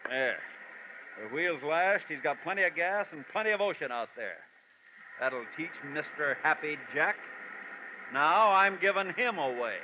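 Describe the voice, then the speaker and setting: An actor playing a brutal, bullying American criminal husband in a vintage radio crime drama, gruff and browbeating. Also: male voice, fairly high pitch, expressive, unhurried, highs cut off. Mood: cold, businesslike. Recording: noisy, band-limited vintage radio recording.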